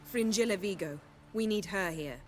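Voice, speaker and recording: A woman's voice: medium pitch, normal speed, slight background noise, lightly acted. A woman with a British accent playing a cool, commanding sorceress who speaks formally in a fantasy video game. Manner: formal, authoritative. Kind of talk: video game cutscene acting.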